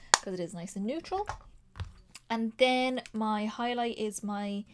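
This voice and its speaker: A woman's voice: a little high, a little mellow. A woman talking casually through a makeup tutorial. She is slightly nasal from a sinus infection and rambles with frequent ums and likes. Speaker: beauty vlogger.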